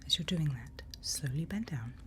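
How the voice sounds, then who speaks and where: A woman playing a calm forest night-watch guide in an ASMR roleplay, whispering soothing, unhurried instructions close to the microphone. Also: deep voice, a little hiss or hum. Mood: calm, hushed.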